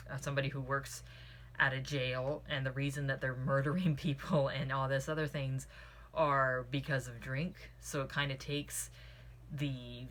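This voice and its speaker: A woman's voice: a bass voice, a bit bright. A woman giving a casual spoken book review in an earnest, opinionated way, drifting into tangents. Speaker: book club host reviewing a book. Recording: clearly audible background noise.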